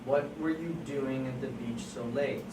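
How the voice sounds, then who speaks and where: A performer at an amateur table read playing an agitated police officer who presses suspects with halting, repeated questions. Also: mid-range voice, steady tone, lightly acted. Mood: probing, stern. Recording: distant, echoey room, noisy.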